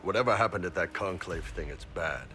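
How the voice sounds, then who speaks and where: Over prominent music, a huge horned mercenary captain in a fantasy game. His voice is very low, and he talks bluntly and informally, with bluff, candid good cheer. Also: expressive, fairly quick, sharp tone. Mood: frank, serious. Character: big horned male Qunari mercenary boss, bluff and candid. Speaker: mercenary captain and self-declared spy. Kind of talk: video game character dialogue.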